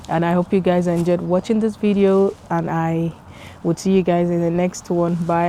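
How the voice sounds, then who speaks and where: A woman's voice: on the low side, warm tone, slight background noise. A woman, talking through a casual vlog in her home kitchen, chatty and encouraging. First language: Yoruba. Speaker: vlogger. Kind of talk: casual vlog talk to camera.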